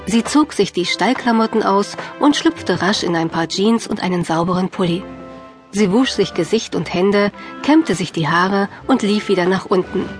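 A woman narrating a scripted children's audiobook in a measured, clearly phrased storytelling manner. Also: mid-range voice, soft background music.

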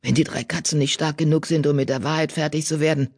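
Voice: little pitch movement, fast, a little mellow, lightly acted. A narrator voicing a fierce old female cat, a bitter former healer who accuses in a growl. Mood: menacing. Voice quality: low growl, hissing. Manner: menacing, accusatory, grim.

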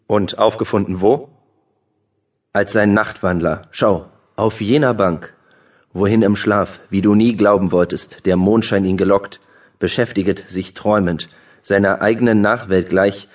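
An actor playing a brisk, mocking courtier and officer in a classical verse drama, speaking in elevated, declamatory stage diction. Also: male voice, fairly low pitch, steady tone, a little mellow, recorded from a distance. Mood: formal reporting. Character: brisk mocking male courtier and officer reporting to his lord. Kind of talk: classical German verse drama audiobook acting.

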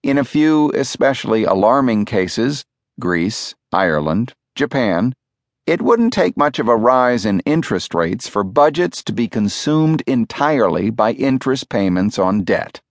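A man narrates nonfiction from a script, close on the mic in a very dry studio. His mid-range voice moves with animated intonation. He phrases things clearly and with measure, leaning on key words for emphasis. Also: mid-range voice, measured pace, no room sound. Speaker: audiobook narrator. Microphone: close studio microphone.

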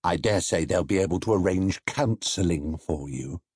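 A man's voice: deep voice, normal speed, darkish tone, husky, dry recording, clean studio sound, pitched below the performer's own voice. A man voicing a prim male passer-by giving earnest advice.

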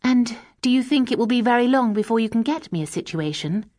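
A lively, proud young woman, as a woman reader puts her on, speaks eagerly and with spirit. Her voice sits a little higher than the narration. The recording is dry and close. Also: fairly quick, no echo, close to an everyday voice.

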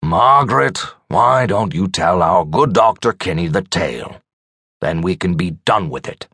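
A man's voice: low voice, measured pace, breathy edge, dry recording, studio quality, full character voice. Man playing a stern, controlled senior asylum doctor. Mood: weary command. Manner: even, clipped authority. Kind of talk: audiobook character dialogue.

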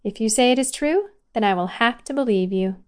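A woman's voice: fairly high pitch, animated, fairly quick, clear, resonant tone, close to an everyday voice. A woman playing a kindly, polite store worker in an audio drama. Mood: friendly. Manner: polite, gentle teasing.

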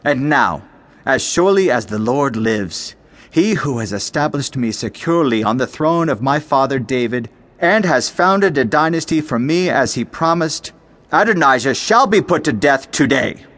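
A man's voice: medium pitch, lively intonation, normal speed, a bit bright, natural voice. Stern young monarch for scripture audio drama, played by a man; mid-range voice, commanding and declamatory. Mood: indignant, resolute. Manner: emphatic, solemn, declamatory. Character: stern, commanding young king passing judgment.

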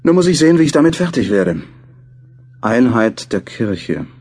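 A man's voice: medium pitch, big pitch swings, normal speed, a little mellow, husky. A man playing a thoughtful, mildly doubtful journalist who muses and questions himself over his diary in a German radio play. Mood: musing. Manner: musing, self-questioning.

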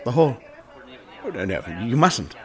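A man acting a doomed, anguished gentleman in a radio drama, with husky voice and expressive old-fashioned upper-class phrasing. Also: medium pitch, unhurried, some chatter behind. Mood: worried, urgent. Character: doomed gentleman haunted by a family suicide curse, anguished.

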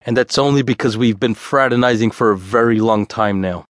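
A man's voice: medium pitch, a little fast, no room sound, studio quality, natural voice. A man voicing a bossy, proud male ringleader who rallies his troupe in a declamatory, boastful way. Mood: firm, bossy.

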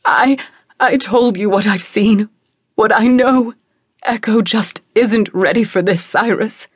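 A woman's voice: medium pitch, takes it slowly, breathy edge, no echo, studio quality. A woman voicing a grieving middle-aged queen who speaks in a raised, anguished, pleading way. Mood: distraught.

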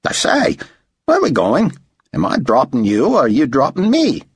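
A man voicing an excitable, chatty Australian motor pool worker in an audiobook, with eager, folksy, pushy talk. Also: medium pitch, normal speed, husky, dry recording, clean studio sound, pitched above the performer's own voice, heavily acted. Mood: excited, awestruck. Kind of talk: audiobook character voice.

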